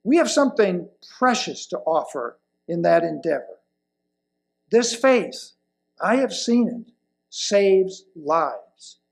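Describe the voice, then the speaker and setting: An elderly man delivers a sermon at a slow, measured pace. His voice sits fairly high for a man and has a marked creak. He phrases deliberately, leaning on key words and pausing between them. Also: big pitch swings. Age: older, around 70s or 80s. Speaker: lay presenter reading a sermon. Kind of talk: sermon read from a pulpit. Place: indoors at a wooden lectern in a church hall. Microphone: lapel mic.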